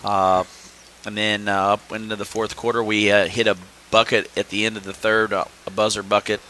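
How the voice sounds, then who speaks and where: A man, a high school basketball coach, recapping games in casual podcast chat with many fillers and restarts. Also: little pitch movement, normal speed, sharp tone, a little hiss or hum, rough recording.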